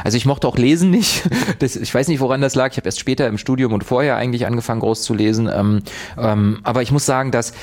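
A man co-hosting a relaxed radio chat, his voice sitting in an ordinary middle register. He rambles on, pausing to search for words and correcting himself as he goes. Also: medium pitch. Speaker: radio co-host.

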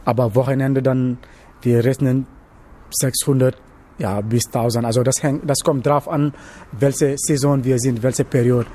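Mellow young man, Senegalese accent. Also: mid-range voice, steady tone. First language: not German, learned it as an adult. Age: young adult. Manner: halting non-native phrasing. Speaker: interviewee, trainee cook. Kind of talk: casual interview answers. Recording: noisy location interview with background ambience.

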